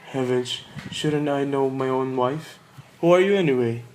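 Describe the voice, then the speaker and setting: A man's voice: mid-range voice, moderate pace, darkish tone, a little hiss or hum, close to an everyday voice. A man playing a forgetful, grumpy yet doting old grandfather in a radio play, muttering and repeating himself. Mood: puzzled.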